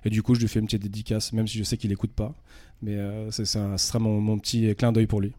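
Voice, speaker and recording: Low, mellow-voiced radio guest, a man. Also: level tone, fast talker, dry recording. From France. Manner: hesitant, many fillers and restarts. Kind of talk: casual interview talk.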